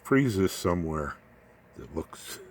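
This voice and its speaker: Low-voiced man giving a folksy technical walkthrough. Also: very animated, takes it slowly, darkish tone, slight background noise. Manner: folksy, rambling, slangy. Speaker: electronics hobbyist presenter. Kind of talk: informal technical explanation.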